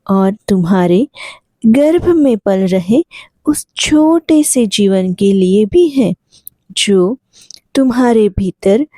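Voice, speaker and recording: A woman guiding a sleep meditation in a soothing, gentle manner, leaving long pauses between short phrases. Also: medium pitch, no room sound. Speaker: guided meditation instructor. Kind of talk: guided sleep meditation, yoga nidra narration.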